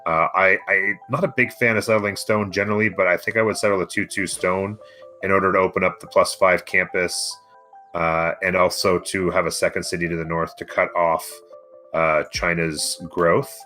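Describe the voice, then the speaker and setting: A man's voice: sits low, fairly even intonation, moderate pace, sharp tone, faint music behind. A man co-casting a strategy game in a casual style, thinking aloud as he explains. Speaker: co-caster of a strategy game match. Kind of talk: casual live game commentary.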